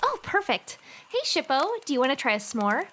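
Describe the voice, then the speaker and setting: A woman playing a cheerful, caring teenage girl, chatty and gently chiding, in an anime-style audio drama. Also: high-pitched, big pitch swings, brisk, slight background noise. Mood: playful, flustered. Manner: chatty, playful, gently scolding.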